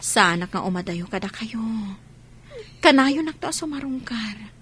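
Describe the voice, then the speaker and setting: A woman playing a heartbroken, gentle young mother in an Ilocano audio drama, speaking in a sorrowful, pleading way. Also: a little high, animated, moderate pace, a little hiss or hum. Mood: tearful, consoling. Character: heartbroken, gentle young mother leaving her marital home.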